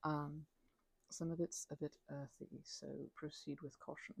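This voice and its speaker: Mellow, husky young woman rambling, gravelly creak. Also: sits low, level tone, measured pace, dry recording. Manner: mumbling, hesitant, drifting storyteller. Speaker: ASMR channel creator. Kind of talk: casual rambling ASMR chat.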